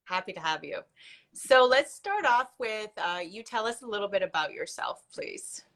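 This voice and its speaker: A woman's voice: medium pitch, lively intonation, moderate pace, a bit bright. A woman hosting a live online interview, welcoming her guest in an upbeat, friendly way. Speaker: host.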